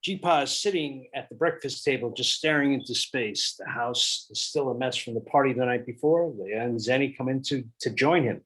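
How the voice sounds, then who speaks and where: A man's voice: mid-range voice, normal speed, hardly any pauses, fairly bright tone, breathy edge, poor audio. A man reading stage directions at a table read in a matter-of-fact way.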